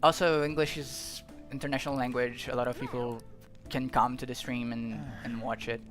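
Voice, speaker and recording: A man's voice: medium pitch, little pitch movement, normal speed, a bit bright, faint music behind. A young Brazilian man with a Brazilian Portuguese accent, a native Portuguese speaker, chatting casually while streaming a game, rambling and thinking aloud with frequent restarts. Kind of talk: casual gameplay commentary.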